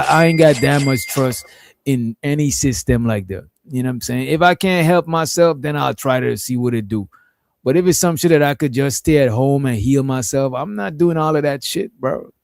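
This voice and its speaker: A man's voice: mid-range voice, gravelly, a little mellow, dry recording. A man hosting a casual stream, reacting to a clip in a laid-back, profane, rambling way.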